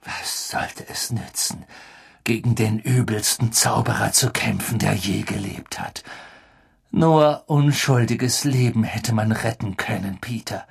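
A man's voice: lowish voice, unhurried, gravelly, slightly rough, heavily acted. A man reading an audiobook, voicing a grim, bitter man confronting a traitor, in a hard, accusing tone. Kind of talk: audiobook character voice.

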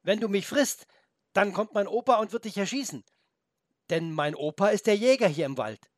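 A man voicing a brave young boy in a storybook, speaking high, urgent and pleading. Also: expressive, moderate pace, no room sound, clean studio sound. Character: brave young boy, frightened but clever. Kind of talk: storybook reading.

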